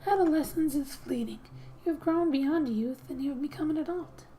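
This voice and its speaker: Woman, high mellow voice, soft breathy ASMR delivery, gentle reading of game text, faint background noise. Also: normal speed, low-quality recording. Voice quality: soft, breathy, often whispery. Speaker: gaming ASMR host.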